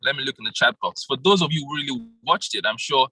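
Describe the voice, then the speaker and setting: A man coaching contestants in an interactive online workshop, animated, laughing often and calling on participants by name. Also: mid-range voice, fast talker, husky, no echo, rough recording. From Nigeria. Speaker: public speaking coach. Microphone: video call.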